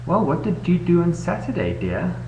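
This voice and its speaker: A man's voice: sits high, wildly swooping pitch, normal speed, darkish tone, pitched above the performer's own voice, exaggerated voice. A patient, coaxing woman schoolteacher from a children's story, put on by a man. The phrases rise and fall widely, encouraging the listener, in an echoey, noisy home recording. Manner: coaxing, prompting. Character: encouraging, patient female primary-school teacher. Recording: echoey room, noisy webcam audio with hum.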